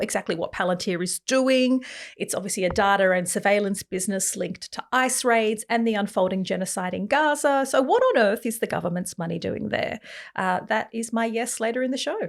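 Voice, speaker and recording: A woman co-hosting a podcast in casual chat, nasal and congested from a cold, lively and quick to joke. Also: medium pitch. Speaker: podcast co-host.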